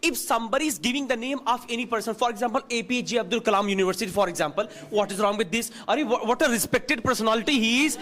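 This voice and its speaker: A teacher moderating a class debate who firmly corrects the students and slips into Hindi. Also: male voice, very high-pitched, rapid-fire, hardly any pauses, fairly bright tone.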